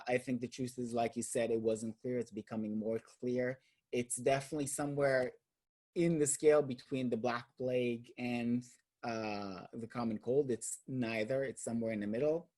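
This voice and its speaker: A man's voice: mid-range voice, mellow. A man from Israel with an Israeli accent, hosting a roundtable discussion in a thoughtful, hesitant way, repeating words as he searches for them. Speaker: roundtable host. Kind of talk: casual discussion.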